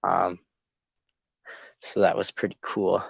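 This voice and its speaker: A teenage boy from Canada chats casually on a phone live stream, his nose still congested from a cold. His voice sits a little low and rambles with lots of ums, captured dry and close with a dull, lo-fi tone. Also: monotone, slow, gravelly, husky, dry recording. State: congested, a bit sick. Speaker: YouTube channel host. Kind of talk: casual live-stream chat while building.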